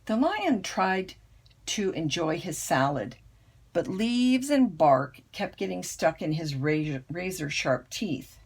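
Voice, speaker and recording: Older woman, grandmotherly storyteller, creaky voice, unhurried pace, expressive picture book read-aloud, very varied intonation. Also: lowish voice. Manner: unhurried, dramatic storytelling emphasis.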